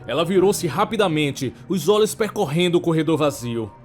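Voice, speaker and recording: A man with a Brazilian accent narrating a scripted horror story in a measured, dramatic way. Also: a little high, lively intonation, faint music behind.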